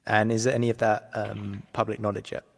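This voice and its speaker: A man's voice: on the low side, flat delivery, brisk, gravelly, big-room sound. A man interviewing a guest, easygoing, with lots of short murmured acknowledgements. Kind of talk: casual interview.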